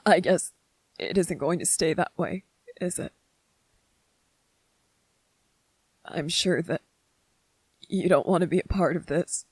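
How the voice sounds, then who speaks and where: A gentle young soldier, as a performer acts him out speaks very slowly in a soft-edged, husky voice. He is vulnerable and devoted, halting between phrases in an intimate, dry-sounding ASMR roleplay. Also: medium pitch, no echo. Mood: sad, resigned. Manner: tender, hesitant, halting, earnest.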